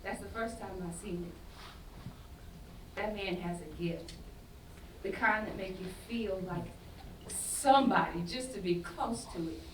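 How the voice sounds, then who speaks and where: A woman playing a soft-hearted, loyal and defensive young woman in a staged play reading, earnest and speaking in vernacular. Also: on the high side. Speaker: student actor in a staged reading. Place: indoor festival staged reading. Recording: echoey room, distant mic, faint noise.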